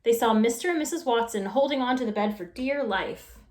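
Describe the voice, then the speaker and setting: A woman reads a children's storybook aloud in a high, expressive voice. The room around her adds a clear echo, as at a storytime session. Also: a little fast. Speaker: storytime reader. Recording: roomy echo.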